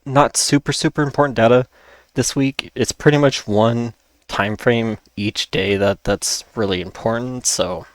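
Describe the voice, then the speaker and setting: A man's voice: mid-range voice, fairly even intonation, a little slow, no room sound. A man hosting an informal market rundown, explaining and repeating key points, with a voice that is wearing out. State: losing his voice, with occasional coughing. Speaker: host of a stock market analysis channel.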